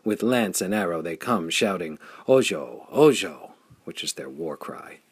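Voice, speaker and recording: A man's voice: low voice, lively intonation, unhurried, slightly rough, no echo, heavily acted. A man voicing a grave, bitter commander in an audiobook, speaking in formal, emphatic declamation. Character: grave, bitter male commander warning of raiders.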